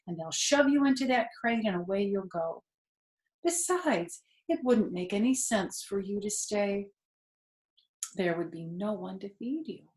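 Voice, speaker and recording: A woman voicing a tired, wise, motherly female spider in a children's storybook, speaking calmly and gently in a measured way. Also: medium pitch, roomy sound, close to an everyday voice. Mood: gently firm. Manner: calm, gentle, measured, matter-of-fact. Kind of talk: children's storybook character reading.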